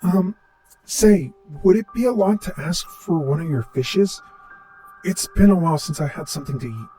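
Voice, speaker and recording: A man's voice: sits high, lively intonation, dark tone, voice pushed up, rough recording. Guy doing a weird lost stranger for a story, raised voice, super polite and friendly but hesitant, bit of music under it. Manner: hesitant, overly polite, halting. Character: strange lost male wanderer, polite, eager, oddly friendly.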